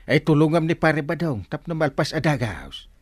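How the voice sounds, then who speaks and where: A man with an Ilocano Filipino accent playing a brisk, generous village captain in a radio comedy drama, folksy and exclamatory. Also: on the high side, expressive, dry recording, studio quality.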